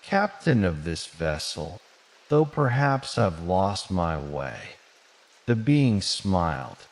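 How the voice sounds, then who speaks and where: A man narrating a soothing bedtime story in a gentle, measured, dramatic storybook manner. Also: low-pitched, very animated. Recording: long cavernous reverb.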